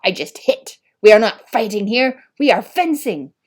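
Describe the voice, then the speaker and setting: A woman's voice: a little high, lively intonation, moderate pace. A woman voicing a furious, scornful French fencing teacher, a man, in an emphatic, scolding storybook manner.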